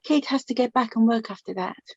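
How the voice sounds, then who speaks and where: A woman playing a middle-aged mother of the bride in a radio play, fond, gently prying and rambling. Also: a little high, brisk, breathy edge. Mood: chatty, fond. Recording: echoey room, dull lo-fi sound.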